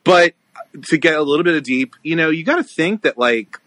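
A man talking about a film in a casual, animated way with casual swearing. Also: fairly high pitch, brisk, no echo.

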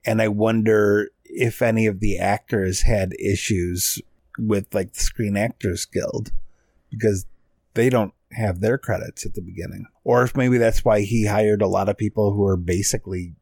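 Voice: low-pitched, fairly even intonation, normal speed, a little mellow, no echo. A podcast co-host chatting casually about films in a joking, sweary way.

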